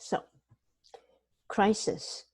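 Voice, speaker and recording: A woman from Singapore, hosting a motivational talk and giving weekly life tips in a gentle, measured way with frequent fillers. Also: no room sound.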